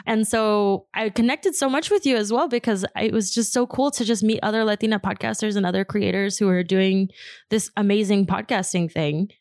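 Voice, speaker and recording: A woman's voice: medium pitch, moderate pace, darkish tone, no room sound, studio quality. A young woman from Queens, New York, hosting a podcast interview in an enthusiastic, chatty way that is full of "like". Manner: chatty and enthusiastic, heavy use of "like". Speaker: podcast host. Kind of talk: casual interview chat.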